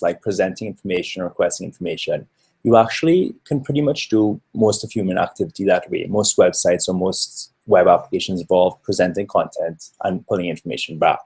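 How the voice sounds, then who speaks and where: Deep husky-voiced man from Trinidad presenting. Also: darkish tone. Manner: earnest, explanatory, with frequent fillers. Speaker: researcher presenting a talk. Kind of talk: conference presentation.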